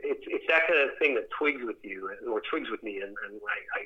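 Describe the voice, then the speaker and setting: A man speaking as a theatre director in a conversational interview, reflective and halting, often repeating words as he thinks. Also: fairly low pitch, expressive, husky, narrow, tinny audio.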